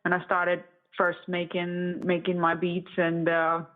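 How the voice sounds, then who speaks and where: Thin, phone-like audio carries a woman from Estonia with a slightly low voice. She is in her thirties and chats casually as a guest in an interview. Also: completely flat delivery, unhurried. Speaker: interview guest, music producer and composer.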